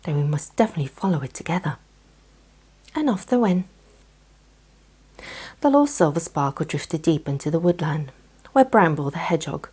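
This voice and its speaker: Casting: female bedtime storyteller, low-pitched, soft and breathy, gentle read for children.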